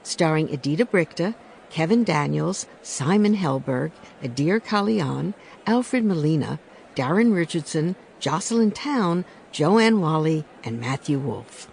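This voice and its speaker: A male announcer reads a scripted introduction. His voice is slightly high, lively and gravelly with creak, over clear background noise. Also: measured pace.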